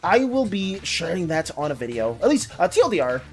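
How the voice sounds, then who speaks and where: A streamer chatting casually with viewers in an animated, rambling way and reacting to chat as it comes in. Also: mid-range voice, fairly quick. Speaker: art and game streamer.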